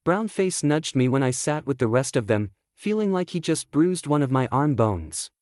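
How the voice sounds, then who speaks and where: Higher-pitched man narrating a fantasy audiobook. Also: big pitch swings, moderate pace, darkish tone, no echo, clean studio sound. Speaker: author narrating own audiobook. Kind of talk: scripted first-person story narration.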